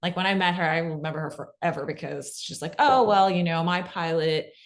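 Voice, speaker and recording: A woman's voice: lowish voice, a little fast. A woman giving a casual, conversational workshop talk on a video call, emphatic and often checking in with her listeners. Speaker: podcast pitching workshop presenter.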